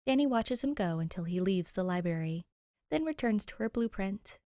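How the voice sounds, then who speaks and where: Young woman reading stories n chatting to listeners, normal mid pitch, really dry close sound. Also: animated, normal speed, warm tone, dry recording. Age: young adult. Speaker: podfic reader and channel host. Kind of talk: story narration and chatty sign-off.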